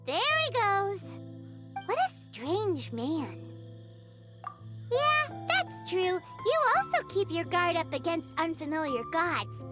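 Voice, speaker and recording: A woman voicing a small, chirpy, curious fairy companion in a video game, with an excitable, childlike delivery. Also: very high voice, slow, loud music behind the voice, full character voice. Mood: curious, puzzled.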